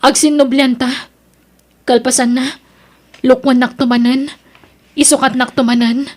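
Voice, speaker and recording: A woman's voice: sits high, level tone, moderate pace, darkish tone, natural voice. A woman with an Ilocano Filipino accent playing an earnest young female student torn between lovers in an audio drama. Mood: indignant.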